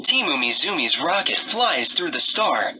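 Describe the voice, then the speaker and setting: A man narrating a read-aloud story for young children in short, expressive sentences. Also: a little high, unhurried, noisy background.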